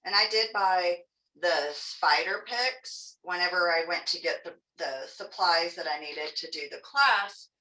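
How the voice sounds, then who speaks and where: A woman from Texas with a Texan accent leads a hands-on craft lesson, chatty and folksy, teasing herself as she goes. Her voice sits fairly low but comes across bright and forceful. She stands a little back from the mic, and the room gives a clear echo. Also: far from the mic. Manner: chatty, folksy, self-joking. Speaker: craft class instructor. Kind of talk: live online craft demonstration.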